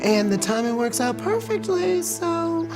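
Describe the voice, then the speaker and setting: A woman acting as a supportive drag queen customer in a scripted inspirational short drama, with a theatrical, affirming, deliberate delivery. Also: very high voice, sing-song, music in the background, full character voice. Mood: encouraging.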